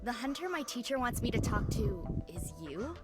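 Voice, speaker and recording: A fairly high voice as a stubborn teenage girl in a video game. She is guarded and defiant, with loud music behind her. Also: very animated, normal speed. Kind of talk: game cutscene dialogue acting.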